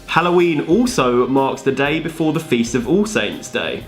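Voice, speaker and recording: A man's voice: mid-range voice, animated, moderate pace. A male co-presenter reads scripted explainer narration with some banter. He has a mid-range voice and a dry, straight-man delivery, over faint background music. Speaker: video co-presenter.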